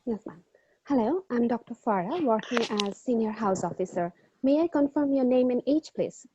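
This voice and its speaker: A woman's voice: fairly high pitch, animated, moderate pace, dark tone, lo-fi sound, slight background noise. A woman acting as a doctor in a mock exam, taking a clinical history politely and hesitantly, with many fillers. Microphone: video call.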